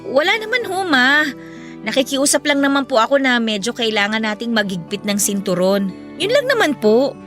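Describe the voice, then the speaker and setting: A woman's voice: a little high, big pitch swings, moderate pace, fairly bright tone, soft background music. An actress playing a dutiful, hurt young Filipino daughter who pleads respectfully with her mother in a radio drama. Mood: careful, apologetic. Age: young adult. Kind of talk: emotional radio drama acting.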